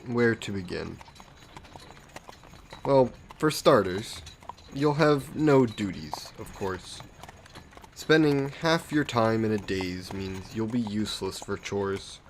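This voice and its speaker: Man playing a slow, suave vampire lord. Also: on the low side, expressive, a little hiss or hum. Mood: smug, reassuring. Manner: unhurried, smug, genteel, softly coaxing. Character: aristocratic male vampire lord, suave, condescending, possessive. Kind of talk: scripted roleplay audio drama monologue.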